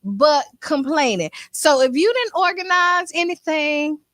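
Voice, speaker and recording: A woman co-hosting a morning radio show, with a squeaky voice, talking in a blunt, animated way and laughing often. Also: a little slow, no room sound, clean studio sound. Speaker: radio co-host. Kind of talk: casual morning radio chat.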